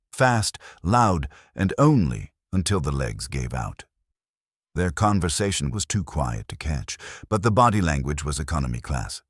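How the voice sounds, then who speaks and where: A man's voice: very deep voice, dry recording, clean studio sound. A man reading a first-person detective story as a scripted narration, with a wry, hardboiled delivery. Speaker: audiobook narrator.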